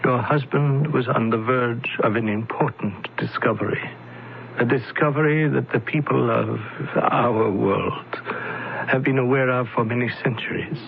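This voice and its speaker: An actor playing a calm, solemn male alien envoy in a radio drama, speaking slowly and formally. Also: mid-range voice, vocal fry, a little hiss or hum. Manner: slow, measured, formal. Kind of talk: 1970s radio drama acting. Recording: dull lo-fi old radio broadcast.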